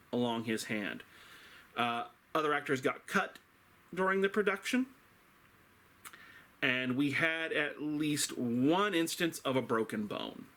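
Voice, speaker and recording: A middle-aged man tells a story with a teacher's theatrical flair. His voice is bright and forceful at a medium pitch. He takes his time, leaning on dramatic pauses and emphatic words with a wry, self-deprecating touch.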